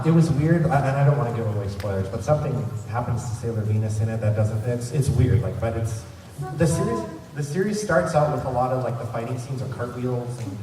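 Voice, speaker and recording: A man hosting a fan convention panel, talking informally in a rambling, enthusiastic way. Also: mid-range voice. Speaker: panel host. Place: large convention centre room. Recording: echoey noisy hall, distant microphone.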